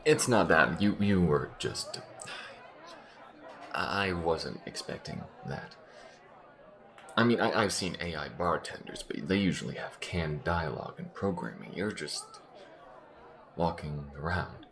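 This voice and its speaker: A man playing a gruff alien soldier who gets easily flustered and halting, in a scripted audio roleplay. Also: sits low, lively intonation, a bit bright, exaggerated voice. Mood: earnest, uneasy. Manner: halting, stammering when flustered.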